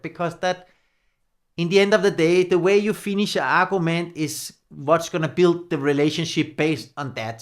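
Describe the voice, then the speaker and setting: A man in his forties with a Danish accent talks casually to the camera, gesturing a lot and laughing often. His English is halting and non-native, and his voice sits somewhat high. He is recorded close on a studio mic in a small home studio. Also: a bit bright. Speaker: numerology coach. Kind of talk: casual talking-head explainer. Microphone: large studio mic on boom arm.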